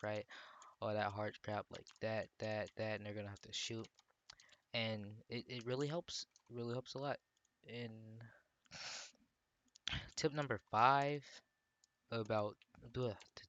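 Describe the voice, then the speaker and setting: A young man with a sore throat and stuffy nose, rambling through casual gameplay tips with lots of fillers and slang. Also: lowish voice. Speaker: gaming YouTuber. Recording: loud game gunfire behind the voice. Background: gunshots and clicks from the game.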